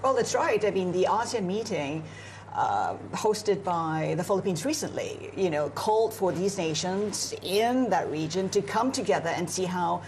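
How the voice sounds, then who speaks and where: A woman's voice: lowish voice, lively intonation, normal speed, darkish tone, slight background noise. A woman from Singapore, a news anchor, analysing world affairs in a live broadcast discussion with frequent fillers. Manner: fluent, analytical, many "you know" fillers. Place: on location in Beijing.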